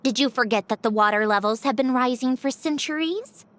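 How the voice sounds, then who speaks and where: A woman's voice: high-pitched, a little fast, a bit bright. A woman playing a proud, sardonic young villainess in a video game, with a dry, condescending, theatrical delivery. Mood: scornful, smug. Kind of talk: video game character dialogue.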